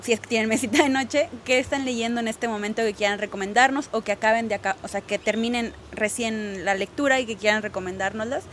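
A woman's voice: fairly high pitch, steady tone, quick pace, few pauses, fairly bright tone, a little hiss or hum. A woman interviewing a writer, asking for book recommendations, with brief encouraging replies.

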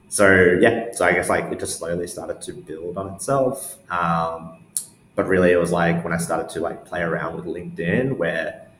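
Deep voiced aussie guy chatting in an interview, kinda rambling, echoey room w bit of noise. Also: fairly bright tone. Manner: hesitant, meandering, heavy use of "like". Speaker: interview guest, newsletter founder. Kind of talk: casual interview answers. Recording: roomy echo with faint background noise.

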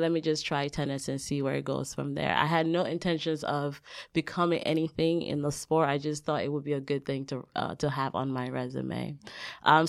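A woman's voice: deep voice, clear, resonant tone, no echo, clean studio sound. A woman from Liberia, a podcast guest, telling her life story in an earnest, reflective way with light laughs. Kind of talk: conversational storytelling.

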